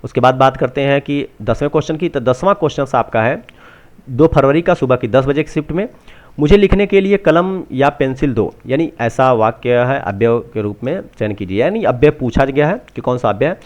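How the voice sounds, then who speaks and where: A man talks through exam updates unscripted, halting and often restating what he has just said. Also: medium pitch, lively intonation, darkish tone. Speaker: presenter of exam answer-key updates. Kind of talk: unscripted walkthrough explanation.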